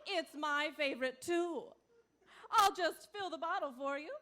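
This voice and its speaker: High-voiced peppy infomercial saleswoman. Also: measured pace, sharp tone. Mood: peppy, salesy. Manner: chirpy, salesy, exclamatory. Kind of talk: parody commercial pitch, radio sketch.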